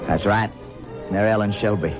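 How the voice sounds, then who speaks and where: An actor playing a brooding young lawyer who speaks in a dry, quietly bitter way in a radio drama. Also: male voice, fairly low pitch, fairly even intonation, fairly quick, lightly acted. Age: young adult. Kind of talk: 1970s radio drama acting. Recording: dull 1970s radio recording with music.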